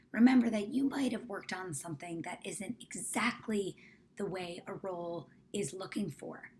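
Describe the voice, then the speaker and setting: A woman leading a guided meditation in a measured, gentle, often hushed way, as a soothing instructor. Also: mid-range voice, slight background noise. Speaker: meditation guide, company founder.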